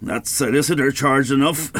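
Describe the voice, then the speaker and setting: An older man with an Irish accent playing a querulous, gullible retired Dublin father in a radio play, expressive and a little hesitant. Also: medium pitch, normal speed, slight background noise, close to an everyday voice. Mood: self-satisfied, musing. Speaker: radio drama actor.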